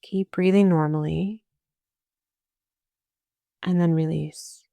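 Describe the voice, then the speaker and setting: A woman guiding a meditation as a yoga nidra teacher, speaking calmly and soothingly in short phrases with long pauses. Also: low-pitched, vocal fry, no echo. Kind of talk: guided meditation, slow spoken instruction.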